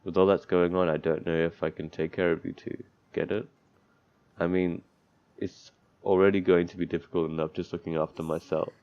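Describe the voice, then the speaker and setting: Deep croaky dude reading a visual novel out loud on a lo-fi mic, trips on words, makes side comments. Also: male voice, level tone, fairly quick. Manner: stumbles over words and breaks off into offhand asides. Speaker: let's player reading a visual novel aloud. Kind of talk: gameplay commentary, reading game text aloud. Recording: dull lo-fi mic, background music. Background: game music.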